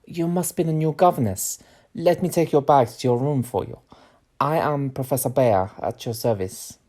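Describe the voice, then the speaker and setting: An actor playing a courteous, bookish professor in an audio drama, speaking formal, slightly unidiomatic English. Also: male voice, mid-range voice, moderate pace, darkish tone, natural voice. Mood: courteous, helpful.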